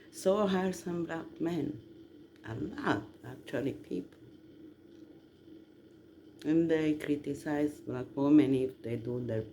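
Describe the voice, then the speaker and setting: A man from southern Italy, rambling casually to listeners in a halting, emphatic way. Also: mid-range voice, vocal fry, mellow. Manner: halting, drawn-out delivery with emphatic questions. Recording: noticeable background noise.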